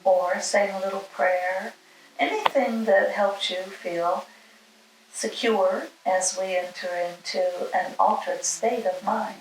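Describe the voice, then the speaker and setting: A woman leading a guided meditation, giving soothing, gentle instructions in short, spaced phrases. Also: medium pitch, fairly even intonation, takes it slowly, a little hiss or hum. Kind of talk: guided meditation, spoken slowly with long pauses. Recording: roomy, distant mic, music underneath.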